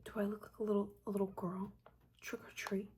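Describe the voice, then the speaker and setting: A woman doing a soft-spoken, breathy, mostly whispered ASMR roleplay as a stern, disappointed witch mentor, with a halting, scolding manner. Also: mid-range voice, little pitch movement. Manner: scolding, halting, dryly exasperated. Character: stern, disappointed witch mentor, nagging but secretly caring. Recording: audible background ambience noise.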